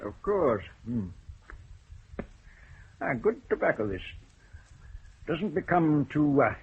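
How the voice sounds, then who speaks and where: A stern older judge with a dry, ironic turn of phrase. His voice is very husky and his pitch moves about a great deal as he weighs each word. It sounds like an old radio broadcast with narrow, muffled sound. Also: sing-song, heavily acted. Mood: measured. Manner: measured, wry, deliberate. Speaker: senior judge. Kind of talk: 1970s BBC radio drama acting.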